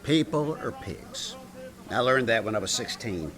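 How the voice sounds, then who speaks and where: An actor playing a wry, big-hearted restaurateur and ex-bartender in a comedic radio drama, teasing and theatrical, full of endearments. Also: male voice, medium pitch, animated, measured pace, a bit bright. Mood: wry, philosophical.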